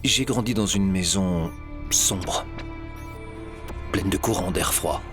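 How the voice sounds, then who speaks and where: Male actor as serious young man, haunted, audio drama, hesitant phrasing, slow delivery, deep register. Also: music in the background. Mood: pained confiding. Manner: hesitant, halting, repeating words. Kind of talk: French audio drama acting.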